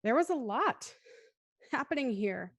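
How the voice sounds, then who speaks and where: A woman's voice: fairly high pitch, sing-song, measured pace. A woman in her thirties hosting a post-show discussion, speaking in a careful, wordy, articulate way. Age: 30s. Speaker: host and moderator.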